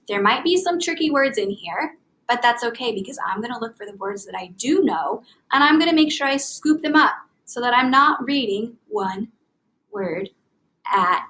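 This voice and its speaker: Female primary teacher, higher-pitched voice, expressive and clearly child-directed, for a classroom read-aloud lesson. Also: poor audio. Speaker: elementary reading teacher.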